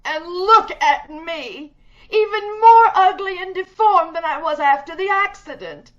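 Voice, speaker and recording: A woman's voice: very high-pitched, a little slow. A woman playing a bitter, imperious, disfigured recluse in an old-time radio drama, theatrical and despairing. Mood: bitter, defiant. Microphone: video call. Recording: dull video-call audio.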